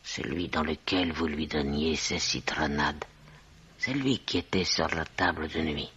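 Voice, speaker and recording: An actress playing a sly, nosy hotel woman turned amateur detective in a radio mystery, husky and gravelly, deliberate, insinuating and dryly detached. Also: rumbling low, fairly bright tone, exaggerated voice. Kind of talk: French radio mystery drama acting. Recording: dull vintage-style radio recording.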